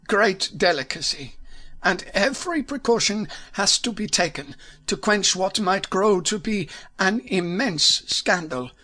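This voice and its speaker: A man's voice: high-pitched, pushed and bright, pitched above the performer's own voice, full character voice. A man voicing a masked Bohemian nobleman who is formal and commanding, with a German accent. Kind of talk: audiobook character voice.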